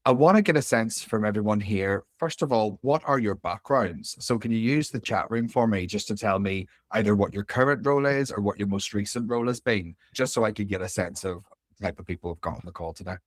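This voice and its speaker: Northern Irish man, late thirties, career coach on a video call, low voice, dry close sound, chatty with plenty of ums. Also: fairly quick, no room sound. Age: 30s. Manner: chatty and informal, many fillers, reads out chat replies. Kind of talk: live webinar presentation. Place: home room.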